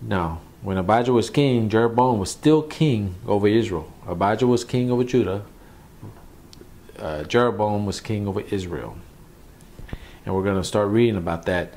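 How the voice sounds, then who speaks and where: A man's voice: on the low side, normal speed, darkish tone, a little hiss or hum. A man, a pastor, teaching from the Bible in a conversational sermon, repeating phrases for emphasis.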